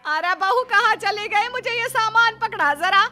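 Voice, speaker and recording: A woman's voice: very high voice, animated, measured pace, pushed and bright, soft background music, heavily acted. A woman voicing a nagging, boastful mother-in-law who scolds and taunts in a family cartoon. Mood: bossy. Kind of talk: Hindi family cartoon voice acting.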